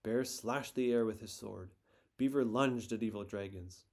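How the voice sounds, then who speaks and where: A man reading a children's picture book aloud in a gentle, expressive storytelling manner. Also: on the low side, moderate pace.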